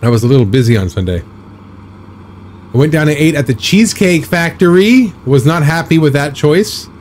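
A man in his thirties chatting casually while live-streaming a driving game, rambling with a slightly raspy, scratchy throat. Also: a little high, expressive, moderate pace, a little mellow. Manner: rambling, chatty, reads and answers chat.

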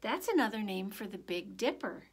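A woman voicing a gentle, reassuring father who soothes and explains in a read-aloud storybook. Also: mid-range voice, animated, normal speed, husky. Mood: tender. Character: gentle, reassuring young father, a soldier leaving home.

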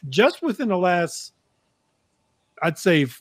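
A man's voice: high-pitched, big pitch swings, slow, dry recording, studio quality. A middle-aged American man chatting casually as a podcast co-host. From United States. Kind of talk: casual chat. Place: indoor room, video call.

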